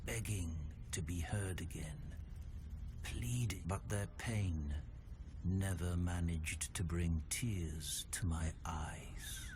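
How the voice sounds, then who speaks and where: An actor playing a solemn, sorrowful undead old confessor in a dark fantasy game, speaking in a grave, archaic, deliberate manner. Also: male voice, sits low, noisy background. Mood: mournful.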